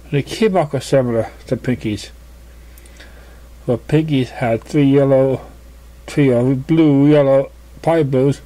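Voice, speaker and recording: Mellow, halting male story reader. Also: mid-range voice, steady tone, low-quality recording. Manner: halting reading with misread words. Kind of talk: scripted narration.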